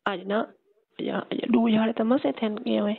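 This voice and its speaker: A woman's voice: fairly high pitch, fairly even intonation, slow pace, vocal fry, breathy edge. A woman with a Saraiki accent chatting casually and intimately as a caller on a recorded phone call. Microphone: telephone line.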